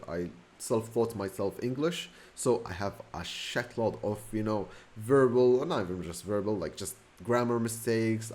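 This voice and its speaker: A man's voice: fairly low pitch, a little mellow. A young man from Morocco, whose first language is Moroccan Arabic, speaks English with a Moroccan accent in a casual, rambling vlog, correcting himself often and speeding up in bursts. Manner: rambling, self-correcting, fast bursts with fillers. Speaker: vlogger. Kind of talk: casual talking-to-camera story.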